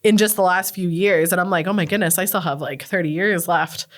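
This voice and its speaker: A woman's voice: lowish voice, fairly quick. A Canadian woman in her thirties, a podcast guest chatting casually, laughing often and using lots of fillers. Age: 30s. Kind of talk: casual interview chat.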